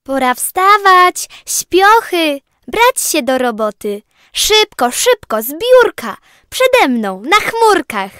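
High, lively, bossy little angel played by a woman. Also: slow pace, exaggerated voice. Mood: bossy, brisk. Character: bossy, excited little angel rousing the others. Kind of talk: rhymed children's audio play.

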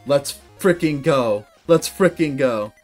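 Excitable young man with a high voice. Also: slow pace, soft background music. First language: English. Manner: excitable, cheering loudly at victories. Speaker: gaming video host. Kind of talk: let's-play commentary. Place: indoor room at a desk.

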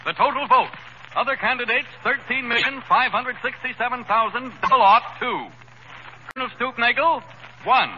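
An actor playing a brisk campaign aide and announcer on a 1930s radio comedy show. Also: male voice, extremely high pitch, noisy background. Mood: announcing. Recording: muffled 1930s radio broadcast.

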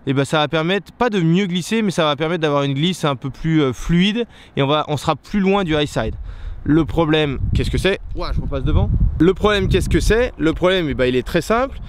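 A man's voice: on the high side, clear, resonant tone. A young man talking casually to a handheld camera outdoors, chatty and explaining things with lots of fillers and restarts. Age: young adult. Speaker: motorcycle stunt vlogger. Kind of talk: casual vlog talk to camera. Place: outdoors on a paved lot. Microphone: handheld camera at arm's length. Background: outdoor ambience, passing plane.

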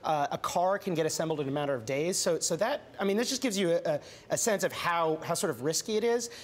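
A man's voice: fairly high pitch, animated, fast. A young man reporter answering questions in a TV news studio, chatty and full of restarts and fillers.

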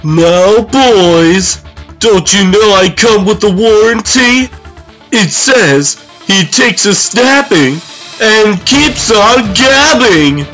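A man's voice: sits high, lively intonation, slow, a bit bright, soft background music, full character voice. A man voicing a gleefully zany clownish super-villain in a comic dub, theatrical, exclamatory and sing-song. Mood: taunting, playful.

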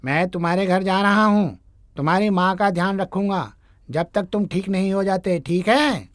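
Calm, kindly young man, voiced by a woman. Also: mid-range voice, normal speed, dry recording, studio quality, lightly acted. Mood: earnest. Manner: calm, reassuring. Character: kind, reassuring young man with magic powers. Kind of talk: Hindi animated moral story acting.

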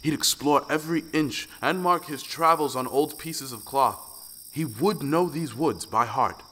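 A man's voice: on the high side, a little slow, bright, forceful tone. A man narrating a folktale audio play as a dramatic storyteller. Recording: long reverb, music underneath.

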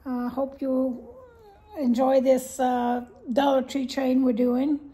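An older woman casually showing off her shopping haul at home, chatty and rambling with soft laughs and many ums, a little congested. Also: high voice, little pitch movement, slow. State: slightly congested, clearing throat. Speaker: haul vlogger. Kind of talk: casual haul show-and-tell. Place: living room at home, indoors.

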